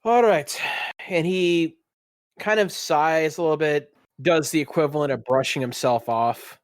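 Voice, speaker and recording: A man with a voice pitched slightly on the high side. He plays a tabletop role-playing game for a podcast, moving casually between narration and in-character speech. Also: measured pace, dry recording, studio quality.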